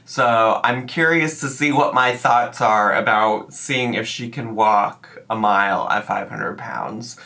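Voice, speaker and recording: A man hosting a reaction video, chatting casually with sarcastic asides, his voice a little raspy as he recovers from being sick. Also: lowish voice, takes it slowly, hardly any pauses, sharp tone. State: slightly raspy, recovering from illness. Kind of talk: casual commentary.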